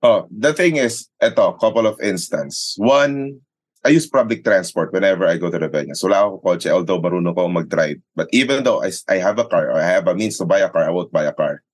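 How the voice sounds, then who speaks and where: A Filipino man with a low voice, recorded close and dry. He tells stories casually as an interview guest, slipping into quoted dialogue and tossing in tag questions as he goes. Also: fast talker, dry recording. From Philippines. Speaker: sports announcer and radio commentator, interview guest. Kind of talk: casual conversational storytelling, mixing Tagalog and English.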